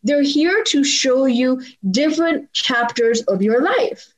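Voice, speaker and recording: Animated woman in her forties. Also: high voice, slow, rough recording. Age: 40s. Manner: animated, acts out dialogue in her stories. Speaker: podcast guest. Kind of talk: personal storytelling in conversation.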